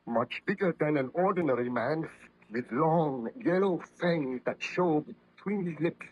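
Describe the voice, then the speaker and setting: A man's voice: fairly high pitch, measured pace, breathy edge. An actor playing an anguished, guilt-ridden nobleman scientist in an old-time radio horror drama, speaking in formal, fervent melodrama. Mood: anguished, urgent. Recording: vintage radio drama recording, slightly muffled.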